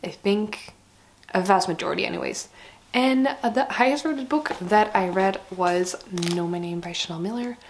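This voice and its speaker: Female book vlogger, hoarse and raspy with breathy breaks, medium pitch, informal and halting review style. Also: a little hiss or hum. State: slightly hoarse with laryngitis. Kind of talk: casual chatty book review to camera.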